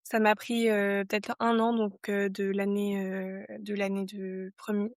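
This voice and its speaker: A woman's voice: mid-range voice, flat delivery, measured pace, no echo. Young French woman reflecting, dry sound. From France. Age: 20s. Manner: reflective, with many fillers and restarts. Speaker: podcast guest. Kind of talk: casual podcast interview.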